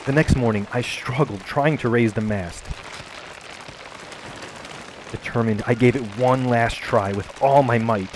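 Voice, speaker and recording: A man narrating a first-person adventure story in a measured, calm storytelling manner. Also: mid-range voice, background noise. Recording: faint music behind voice.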